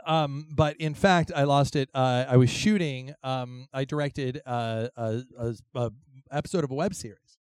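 A young man with a voice gone hoarse, co-hosting a live panel show and rambling playfully with lots of fillers. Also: medium pitch, steady tone, normal speed, a little mellow, dry recording. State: hoarse from losing his voice. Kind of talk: casual comedic banter with a live audience.

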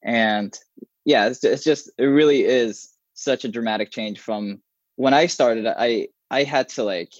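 A man whose first language is Arabic, a software developer talking casually in an interview, with many restarts and fillers. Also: medium pitch, a little slow, no room sound, dull audio. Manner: rambling, frequent restarts and fillers.

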